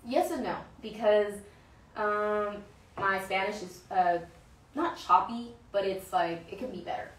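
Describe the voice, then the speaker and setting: Brief: young woman, chatty and searching for words, mid-pitched, distant mic in a roomy space. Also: a little slow, fairly bright tone. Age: young adult. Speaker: guest, pro soccer player. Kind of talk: casual interview conversation.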